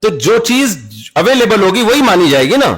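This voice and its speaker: A man's voice: high voice, sing-song, normal speed, fairly bright tone, breathy edge, soft background music. A man with an Urdu-speaking Pakistani accent giving a sermon, talking conversationally and acting out little dialogues with everyday examples. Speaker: Islamic preacher.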